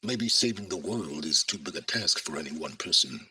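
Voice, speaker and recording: An actor playing a cold, calculating, aloof scholar in a video game, speaking formally with measured, condescending, sardonic phrasing. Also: male voice, a bass voice, expressive, bright, forceful tone, husky, full character voice. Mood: calm, resigned. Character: cold, calculating, aloof male scholar, middle-aged or older. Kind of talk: scripted video game voice acting.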